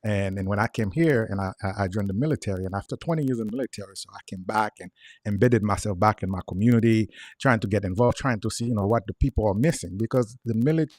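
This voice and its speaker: Haitian man, 40s, thick Creole accent, low voice, earnest guest on a talk show, dead dry sound. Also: fast talker, darkish tone, no echo. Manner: earnest, repeats words while explaining. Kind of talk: casual interview chat.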